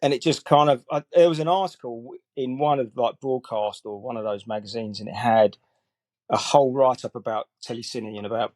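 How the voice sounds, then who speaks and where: A man in his forties who talks easily and meanders, using lots of "you know" and "kind of" fillers. His voice sits at a middle pitch and is recorded dry, with no room echo. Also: brisk. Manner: relaxed, rambling, many fillers. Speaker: guest colorist. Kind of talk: casual interview chat.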